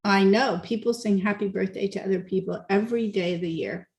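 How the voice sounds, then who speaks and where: A woman's voice: medium pitch, a little fast, creaky, a little mellow. A woman hosting a talk show in a casual, chatty way, sometimes stumbling over her words. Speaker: host. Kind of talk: casual radio chat.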